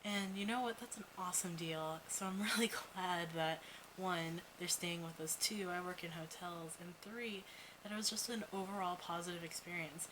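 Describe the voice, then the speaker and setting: A young woman vlogging in a casual, chatty way, rambling with lots of "like" and "you know what?". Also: on the low side. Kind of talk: casual video diary chat. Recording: noticeable background noise.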